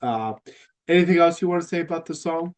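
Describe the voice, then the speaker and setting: A man's voice: on the high side, expressive, fairly quick. A man hosting a podcast, congested and nasal, talking in a rambling casual way with lots of fillers and restarts. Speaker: podcast host. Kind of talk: casual fan chat.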